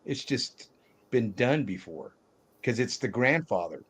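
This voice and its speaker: A man chatting casually as a panelist on a film discussion, in a halting way with phrases that trail off. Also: medium pitch, lively intonation, a bit bright, breathy edge. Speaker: podcast panelist discussing films. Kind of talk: casual chat.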